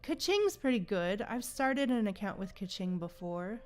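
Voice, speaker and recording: A woman's voice: mid-range voice, big pitch swings, normal speed. A woman livestreaming a game, chatting casually and musing aloud in an informal, slangy way. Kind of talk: casual live stream chat and commentary.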